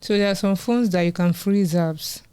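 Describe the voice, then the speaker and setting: Nigerian woman with a smooth, clear voice. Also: lowish voice, normal speed, dark tone, no echo. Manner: chatty, laughs easily, plugs the event with enthusiasm. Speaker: podcast co-host promoting an event. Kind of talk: casual podcast chat.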